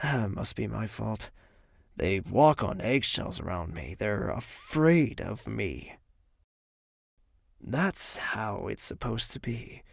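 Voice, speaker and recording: An actor playing a brooding, weary young royal in an audio drama, with a dry, curt, blunt manner. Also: male voice, fairly low pitch, vocal fry, husky, no room sound, full character voice.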